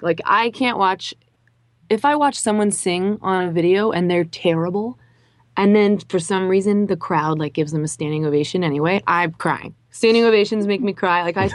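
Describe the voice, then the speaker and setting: A woman from Indiana, a musical guest on a radio talk show, gushing excitedly and laughing often into a studio mic. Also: medium pitch, dry recording. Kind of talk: excited radio interview chat.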